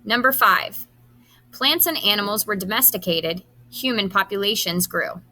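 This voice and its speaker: A woman, a high school teacher, reads quiz questions aloud to students, enunciating each item deliberately and adding brief instructions. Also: bright, forceful tone.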